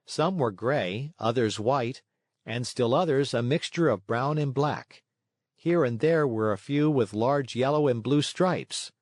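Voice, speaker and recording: A man reading a children's story as scripted narration, expressive in his storytelling. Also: mid-range voice, unhurried, no room sound, clean studio sound.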